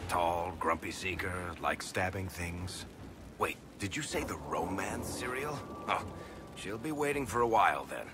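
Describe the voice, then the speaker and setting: A very low, gravelly rogue of middle years. He banters with sardonic ease and swings his intonation widely. Noisy game ambience sits behind the dialogue. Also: lively intonation, a little slow. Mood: wry, dismissive. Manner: sardonic, teasing, casual banter. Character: wry, roguish male storyteller and businessman, middle-aged. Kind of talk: video game cutscene acting.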